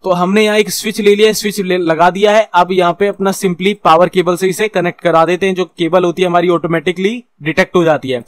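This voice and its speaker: A man's voice: high-pitched, steady tone, fairly quick, few pauses, smooth, no room sound. A man with an Indian accent giving an informal tutorial as a course instructor, explaining step by step, checking in often and mixing in English technical terms. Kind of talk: informal screen-share tutorial.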